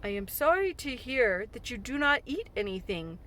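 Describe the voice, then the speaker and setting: A woman reading a storybook aloud, voicing a calm, measured middle-aged male doctor in her own voice. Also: on the high side. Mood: thoughtful. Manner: measured, thoughtful.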